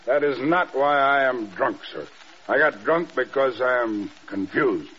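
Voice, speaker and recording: A man playing a formal, melancholy drunken colonel in an old radio drama, speaking deliberately and haltingly. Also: medium pitch, fairly bright tone, breathy edge. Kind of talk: mid-century radio drama acting. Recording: muffled old radio broadcast, faint noise.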